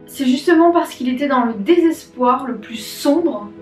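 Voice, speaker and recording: A woman who works as a clinical psychologist, telling a story gently and thoughtfully with many fillers as she hosts her own video. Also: high voice, expressive, measured pace, echoey room, distant mic, faint music behind. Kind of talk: storytelling to camera.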